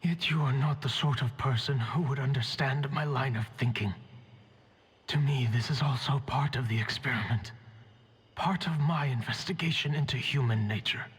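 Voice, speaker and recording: A grave, breathy, philosophical scholar. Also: male voice, medium pitch. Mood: mournful, detached. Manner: measured, formal, grave. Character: solemn, grieving scholar, coldly philosophical, fatherly manipulator. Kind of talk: dramatic game voice acting.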